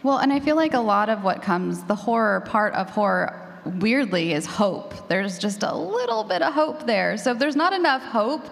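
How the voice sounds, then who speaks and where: A woman's voice: fairly high pitch, lively intonation, normal speed, creaky. A woman talking casually as a panelist and podcaster. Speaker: panelist, horror fiction podcaster. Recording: echoey hall.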